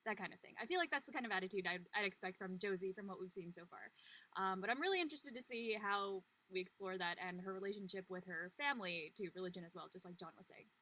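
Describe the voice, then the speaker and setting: A woman with a bright, clear-edged voice of average pitch chats about the role she plays. Her delivery is informal and conversational, dotted with "like" and "you know". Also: quick pace, poor audio. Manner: chatty, frequent "like" and "you know". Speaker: voice actor on a live show. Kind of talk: casual chat about her character.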